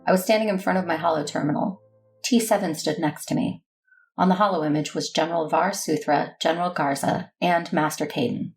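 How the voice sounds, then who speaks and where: A woman's voice: sits low, steady tone, fairly quick, creaky, darkish tone, faint music behind, rough recording. An actress playing a weary, bitter, brooding war leader who narrates her own story in a sci-fi audio drama. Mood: grave, bitter.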